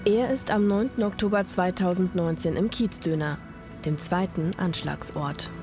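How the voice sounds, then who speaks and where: A woman giving scripted documentary narration in an even, sober way. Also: lowish voice, moderate pace. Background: music.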